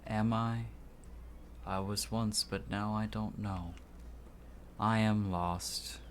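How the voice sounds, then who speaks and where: A grieving, restless soul from a video game, read by a man in a low voice. He speaks earnestly and mournfully as a lost husband, with faint noise behind. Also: fairly even intonation, slow pace.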